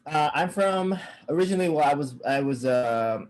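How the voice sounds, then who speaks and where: A young man from Saipan, a cameraman, talking casually as a guest on a video call, halting with many fillers and restarts. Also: on the high side, animated, a little mellow.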